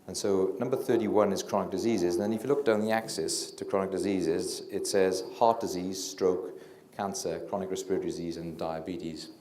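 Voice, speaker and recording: A middle-aged Welsh man, a physician giving a public talk into a handheld mic, hesitant with many fillers and restarts, and a self-described low talker. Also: steady tone, moderate pace, darkish tone. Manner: low-talking. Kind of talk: public lecture. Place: lecture room with audience. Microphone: handheld microphone. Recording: echoey lecture room.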